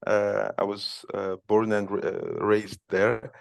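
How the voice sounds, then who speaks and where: A man's voice: fairly low pitch, little pitch movement, takes it slowly, creaky, no room sound, studio quality. A man from Cape Verde, a podcast guest, talking casually and hesitantly, with many fillers and restarts. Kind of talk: casual interview.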